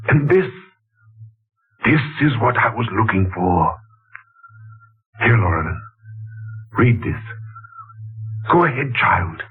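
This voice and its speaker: An actor playing a bewildered patriarch returned from a century past, halting and solemn, in theatrical 1940s radio style. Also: male voice, medium pitch, very animated, slight background noise. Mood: urgent, solemn. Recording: muffled old radio recording, music behind.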